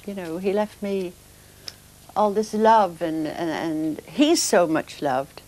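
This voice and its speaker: An older woman speaking softly and hesitantly in a documentary interview, emotional as she remembers her son. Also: lowish voice, lively intonation, takes it slowly. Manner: soft, hesitant, emotional reminiscing. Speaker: interviewee, mother of the subject.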